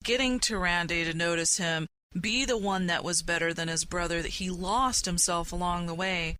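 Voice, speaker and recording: Female podcast host, bright forward voice, earnest and reflective, some noise behind her. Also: lowish voice, rough recording. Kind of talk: conversational panel discussion. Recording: noticeable background noise.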